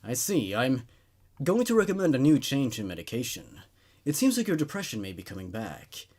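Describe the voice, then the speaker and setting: A man voicing an attentive male psychiatrist in a horror story, measured, clinical and questioning. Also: mid-range voice, big pitch swings, darkish tone. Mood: clinical, inquiring. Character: probing, attentive male psychiatrist taking notes.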